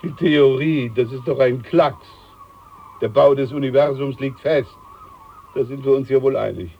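A pompous old ship's doctor. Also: male voice, medium pitch, normal speed, gravelly, highs cut off. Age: older. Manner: boastful, condescending, chuckling. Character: pompous older ship's doctor, self-important. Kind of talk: radio play acting.

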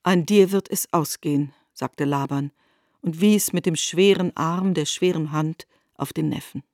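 A woman narrating literary prose in a measured, formal manner, as in a scripted radio production. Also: sits low, a little mellow, no room sound, clean studio sound.

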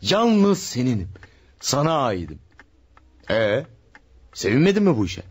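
A smug husky wife-killer. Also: male voice, mid-range voice, big pitch swings, measured pace. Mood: gloating. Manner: smooth, gloating and sweet-talking. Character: scheming middle-aged male wife-killer, smug and cold. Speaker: radio drama actor. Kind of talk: vintage radio drama acting.

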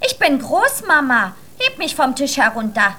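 High, bossy little princess. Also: female voice, big pitch swings, background noise. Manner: commanding, brisk, self-assured. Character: bossy, confident little girl playing princess. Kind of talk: children's radio play acting.

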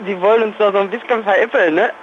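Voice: mid-range voice, steady tone, racing. A man working at a dry cleaner's, talking casually on the phone with amused disbelief. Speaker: dry-cleaning shop worker. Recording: dull, slightly noisy phone line.

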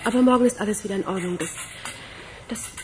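An actress playing a melancholy, evasive sanatorium patient in a German radio crime drama, wry and reassuring. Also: female voice, medium pitch, fast talker, lightly acted.

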